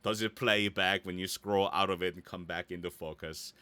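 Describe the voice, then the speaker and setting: A man giving a casual, easygoing software tutorial, thinking aloud and muttering small asides as he works. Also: deep voice, steady tone, moderate pace, clear, resonant tone. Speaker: voice actor giving a website-building tutorial.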